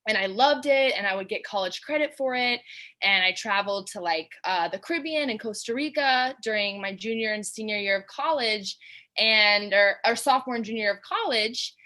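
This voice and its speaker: A woman's voice: mid-range voice, expressive, fairly quick, sharp tone. A young woman from Georgia, a guest in a casual interview, talking in a bubbly, chatty way with lots of fillers. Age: young adult.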